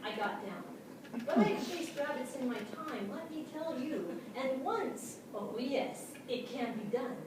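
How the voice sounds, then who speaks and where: A woman plays an excitable dog narrating his adventures in a high voice. The delivery is theatrical and comic, full of short punchy phrases. It is picked up from far off in a noisy, very reverberant theatre. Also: a little slow. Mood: eager, confiding. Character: excitable, boastful, easily distracted male dog narrator. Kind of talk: theatrical staged prose reading. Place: small theatre stage, live audience.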